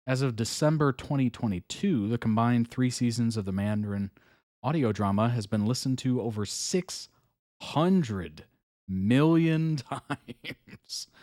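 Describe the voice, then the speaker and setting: A man hosting a live reaction stream, chatting casually, reading chat messages aloud, with frequent ums and soft chuckles. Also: medium pitch, lively intonation, measured pace, dry recording, clean studio sound. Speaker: host reacting to videos live.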